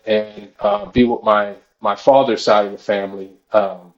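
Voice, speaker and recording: A man's voice: lowish voice, steady tone, moderate pace, highs cut off, soft background music. A man from New Orleans with a New Orleans accent, a podcast guest who talks hesitantly with many fillers. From New Orleans, Louisiana.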